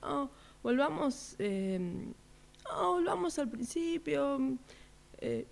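A woman's voice: high-pitched, lively intonation, creaky, full character voice. A woman in a radio drama exercise playing a flustered woman who stammers and repeats herself trying to make a point. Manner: halting, stammering, repetitive.

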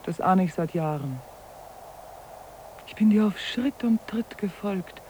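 Actress for unhappy, devoted wife in an eighties radio play: medium-pitched, reflective, deliberate, bitter edge, audible tape noise. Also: female voice, lively intonation, a little mellow, natural voice. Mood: yearning, wounded. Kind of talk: 1980s German radio drama acting. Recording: noisy cassette copy of radio play.

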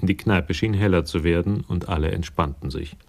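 Very deep, gravelly male narrator. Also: moderate pace, a little mellow. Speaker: narrator of a radio crime drama. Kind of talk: scripted literary narration.

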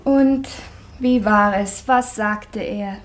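An actress playing a loyal, sharp-tongued maidservant in a German radio play, speaking precisely and dryly, respectful yet pert. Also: female voice, medium pitch, slow pace, close to an everyday voice. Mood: coaxing, pitying. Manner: dry, respectful but pert, precise stage diction.

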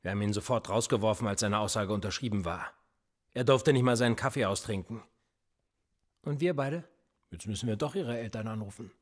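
A man's voice: lowish voice, fairly quick, natural voice. An actor playing a police interrogator in a radio play, pressing and sarcastic as he questions a suspect. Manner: pressing, sarcastic, quietly needling. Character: probing male cop, middle-aged, cold and persistent.

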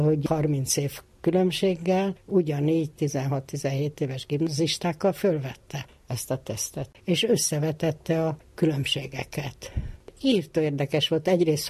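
Husky-voiced older woman, conversational. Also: low-pitched, darkish tone. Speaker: psychotherapist. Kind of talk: conversational explanation in an interview.

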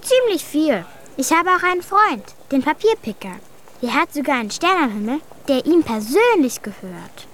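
Stubborn little kid in a german radio play, high bouncy voice, bit of background noise. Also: female voice, sing-song, normal speed, fairly bright tone. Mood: self-assured. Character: Desje, young girl runaway, plucky, secretive, stubborn.

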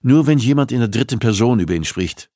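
A man voicing a gruff male police investigator with a curt, deadpan, growling manner. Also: medium pitch, fast talker, no echo, clean studio sound, pitched above the performer's own voice, natural voice. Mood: curt, sardonic.

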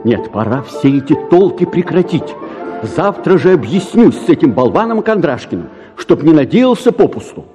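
An actor playing a flustered, evasive young bachelor in a theatrical radio play, stammering and hesitant. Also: male voice, fairly high pitch, big pitch swings, moderate pace, music in the background. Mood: exasperated. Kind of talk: theatrical radio drama acting.

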